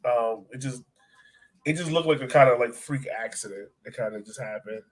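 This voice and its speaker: A man's voice: medium pitch, moderate pace. An American man hosting a soccer podcast, talking casually and steering the discussion in an inquisitive, conversational way. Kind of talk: casual conversational sports talk.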